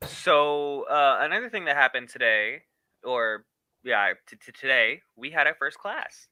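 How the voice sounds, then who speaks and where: A man co-hosting a talk show, explaining things in a casual, halting way. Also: mid-range voice, lively intonation, pushed and bright, no echo. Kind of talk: casual podcast chat.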